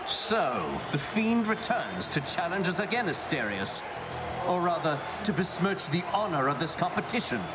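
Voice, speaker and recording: A man's voice: sits high, big pitch swings, normal speed, heavy background noise. A man playing a vain, haughty champion hero in a video game, pompous and theatrical in his declarations. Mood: disdainful. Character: haughty, boastful heroic male champion, vain and indignant.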